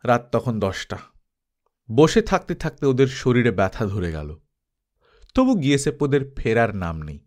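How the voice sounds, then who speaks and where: A man narrating an adventure story as an audiobook, in an expressive, suspenseful, dramatic style. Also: mid-range voice, normal speed, pauses often.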